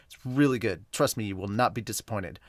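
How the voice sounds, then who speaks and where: A man's voice: on the low side, brisk, no echo, studio quality. A man hosting a podcast, chatting casually and rambling, often correcting himself as he reviews manga. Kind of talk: casual chatty review intro with live commentary.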